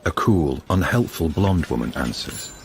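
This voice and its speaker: A man's voice: very deep voice, lively intonation, a little slow, background noise, heavily acted. A man giving scripted narration for a radio drama in the present tense. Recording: music clearly behind the voice.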